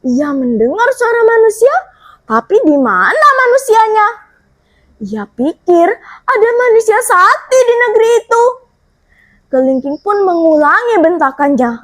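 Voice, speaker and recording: A woman storyteller narrating a folktale in an expressive, dramatic, theatrical way. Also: very high-pitched, unhurried.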